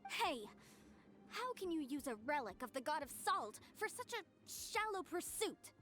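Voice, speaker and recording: A young woman exploring ruins in a video game. Her voice is very high and expressive. She comes across as earnest and reverent toward old relics. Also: a little slow, soft background music, exaggerated voice. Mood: indignant.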